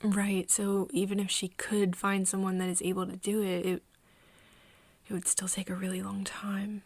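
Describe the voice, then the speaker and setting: A woman playing a nervous, tender young friend of a vampire in an ASMR roleplay, speaking softly and hesitantly, often slipping into a whisper. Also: medium pitch, flat delivery, dry recording, natural voice. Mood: resigned, disappointed. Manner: hesitant, halting, breathy whispering mixed with soft speech. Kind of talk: ASMR roleplay, intimate one-sided dialogue to listener.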